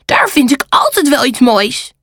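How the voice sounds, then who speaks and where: A woman as a very high, excitable little bird. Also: a little slow, dry recording, clean studio sound, exaggerated voice. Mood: eager. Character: scrappy little male bird, keen on shiny things. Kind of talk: children's storybook character voice.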